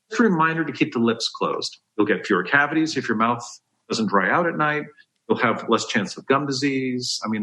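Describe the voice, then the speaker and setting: Man, bright forceful voice, mid pitch, dentist guest, conversational health explainer, step-by-step delivery. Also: poor audio.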